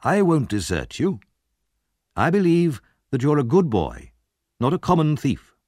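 A kindly, steadfast older gentleman from a storybook, played by a male reader. He sounds earnest and measured, slightly raised in pitch, with lively movement. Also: no room sound, studio quality. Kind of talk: audiobook character reading.

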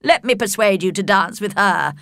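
A woman voicing a cheerful, courtly older gentleman host in a storybook, effusive and coaxing. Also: mid-range voice, animated, normal speed, vocal fry, dry recording, clean studio sound.

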